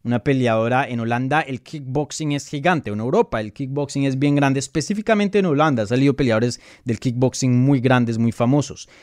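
A Colombian man talks through fight predictions in a loose, chatty way, stressing points with emphasis and often pausing on fillers. His voice sits at an ordinary middle pitch. The sound is dry and close, with almost no room echo. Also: a little mellow. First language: Spanish. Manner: conversational, emphatic, many fillers and restarts. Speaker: MMA analyst and channel host. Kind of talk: casual live commentary answering viewer questions.

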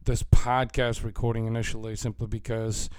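A man hosting a podcast with a hoarse voice, blunt and crude-spoken, in casual chat. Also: fairly low pitch, level tone, normal speed, a little mellow, dry recording. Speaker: podcast host. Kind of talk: casual podcast intro with profanity.

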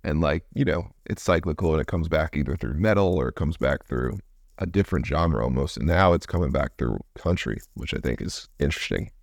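A man hosting a podcast, a musician with a baritone voice, talking in a relaxed, frank way. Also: brisk, creaky, no room sound, studio quality. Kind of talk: casual podcast conversation.

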